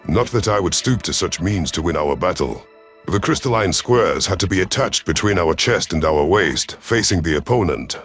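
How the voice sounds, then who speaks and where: Casting: male audiobook narrator, deep voice, measured and solemn sci-fi storytelling over faint music. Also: a bit bright. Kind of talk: scripted first-person sci-fi narration.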